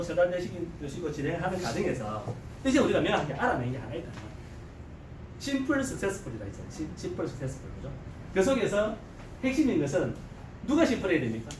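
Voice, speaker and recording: A middle-aged man with a strong Gyeongsang accent gives a joking, animated seminar lecture, often quizzing his audience with rhetorical questions. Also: high voice, slight background noise. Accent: Gyeongsang Korean. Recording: echoey hall, mic at a distance, faint ambience.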